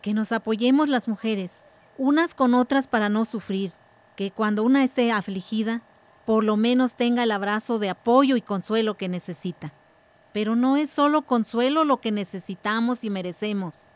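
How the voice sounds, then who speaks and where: A woman's voice: on the high side, normal speed, natural voice. A woman playing a determined, earnest village woman speaking up in a radio drama. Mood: earnest, resolute. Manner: earnest, declarative.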